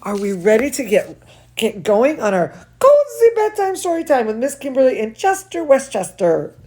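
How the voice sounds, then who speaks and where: A woman's voice: high-pitched, wildly swooping pitch, moderate pace, darkish tone. A woman hosting a children's bedtime story livestream, gushing and exclaiming as she chats to young viewers between bits of reading. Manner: gushing, exclamatory, addresses children and pet directly. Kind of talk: storybook read-aloud mixed with chatty asides to young viewers.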